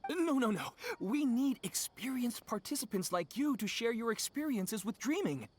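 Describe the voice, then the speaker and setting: A woman's voice: a little high, expressive, normal speed, breathy edge. A woman voicing a polite, cheerful, persuasive organizer in a video game, with a courteous and coaxing way of talking. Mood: eager, persuasive. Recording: music behind the voice.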